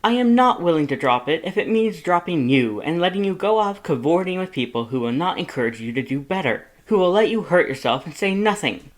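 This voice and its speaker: A man voicing a loud, fussy skeleton who is the younger brother, scolding emphatically in a fan fiction audiobook reading. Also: high-pitched, sing-song, fairly quick, hardly any pauses, pitched above the performer's own voice. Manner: scolding, emphatic, rising indignation.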